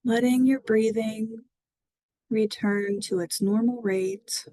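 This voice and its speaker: A woman guiding a mindfulness body scan meditation, speaking gently and soothingly with long pauses. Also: fairly high pitch, fairly even intonation, dry recording, rough recording. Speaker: mindfulness guide.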